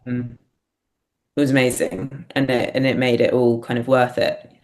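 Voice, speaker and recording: A woman with a gravelly, creaky edge to her voice talks as a guest in a reflective interview. She thinks aloud, hesitating and rephrasing as she searches for the honest way to put things. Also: very deep voice, flat delivery, normal speed, a little mellow. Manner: hesitant, thinking aloud, punctuated by small laughs. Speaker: guest, writer-performer.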